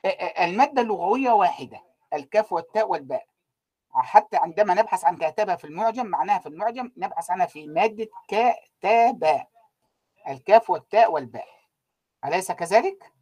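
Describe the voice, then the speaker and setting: A man giving an online university lecture, trailing off so students fill in the words, with frequent drawn-out fillers. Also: high voice, lively intonation, darkish tone, husky. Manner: keeps quizzing students with unfinished sentences, many drawn-out fillers.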